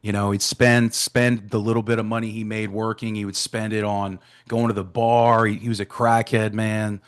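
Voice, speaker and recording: A man in his thirties from Florida talks openly about his past as a podcast guest on a video call. He often checks in with "right?" and "man", in a slightly low voice. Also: little pitch movement, normal speed. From Florida, USA. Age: 30s. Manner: open, leans on "right?" and "man". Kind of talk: candid personal storytelling. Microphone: remote video call.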